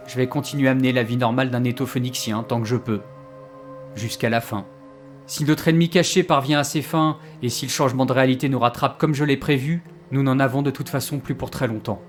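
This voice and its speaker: A man's voice: mid-range voice, normal speed, faint music behind, close to an everyday voice. A man playing a gloomy, wry time traveller in an audio drama, easily exasperated and given to hesitant explanations. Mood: grave, resigned. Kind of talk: French audio drama acting.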